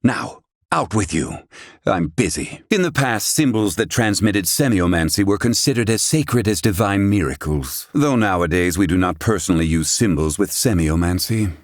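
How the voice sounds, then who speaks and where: Pompous, low-voiced fantasy scholar. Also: male voice, lively intonation, normal speed, no room sound, studio quality. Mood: irritable, then lecturing. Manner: formal archaic diction, pompous, exacting. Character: proud, curt, bookish fantasy scholar-healer man, easily excited. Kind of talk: video game character dialogue.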